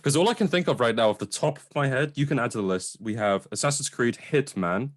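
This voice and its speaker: A man's voice: mid-range voice, expressive, quick pace. A man co-hosting a film review podcast in casual chat, talking in an informal, slangy way.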